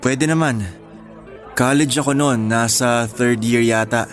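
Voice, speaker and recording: A man playing a quiet, gentle homebody in radio drama dialogue, talking in a casual, matter-of-fact way. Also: medium pitch, a little slow, natural voice. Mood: matter-of-fact, regretful. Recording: faint music under dialogue.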